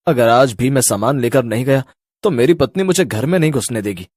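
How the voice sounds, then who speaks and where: A man's voice: medium pitch, brisk, a little mellow, no echo, clean studio sound, lightly acted. A man playing an anxious, bewildered husband and father in a cartoon horror story, with exclamatory delivery. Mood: worried. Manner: exclamatory, worried, puzzled.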